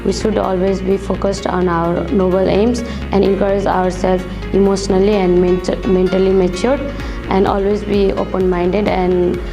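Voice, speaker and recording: A woman's voice: mid-range voice, flat delivery, normal speed, creaky. A young woman from Nepal with a Nepali accent, giving prepared advice as a student. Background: guitar music.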